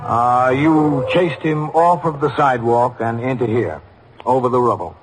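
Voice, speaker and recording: Male actor as stern police captain, middle-aged, American, deliberate, medium pitch, 1950s radio drama, slightly noisy old recording. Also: lightly acted. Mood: probing. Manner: deliberate, questioning, matter-of-fact.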